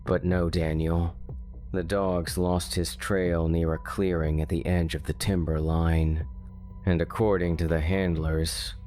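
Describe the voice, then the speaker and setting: A man narrating a scripted true-crime horror story in measured, short phrases with pauses. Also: low-pitched, little pitch movement, mellow, soft background music.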